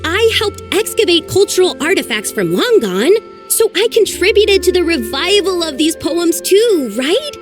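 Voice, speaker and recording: A woman playing an earnest, ambitious young woman in a video game, with an expressive delivery. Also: extremely high pitch. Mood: distressed, determined.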